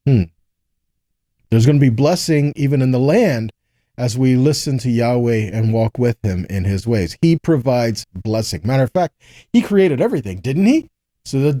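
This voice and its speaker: A man's voice: mid-range voice, warm tone, no echo, clean studio sound. A man giving a conversational Bible teaching, reading verses aloud and explaining them earnestly, often checking in with short rhetorical questions.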